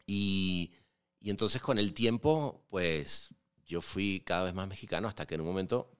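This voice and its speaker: A Venezuelan man in his forties talks easily as an interview guest. His voice sits very low and sounds smooth and clear. He thinks aloud, with restarts and a frequent "¿no?". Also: normal speed. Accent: Venezuelan Spanish. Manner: relaxed, many fillers and restarts, frequent rhetorical "¿no?". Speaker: podcast guest, filmmaker. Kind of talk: casual interview conversation.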